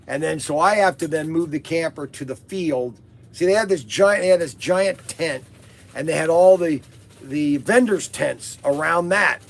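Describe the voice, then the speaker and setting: Hoarse, gravelly man telling a rambling story. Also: a little high. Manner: rambling with many fillers and restarts. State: losing his voice, hoarse. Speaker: host of a toy business live podcast. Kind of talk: casual livestream storytelling.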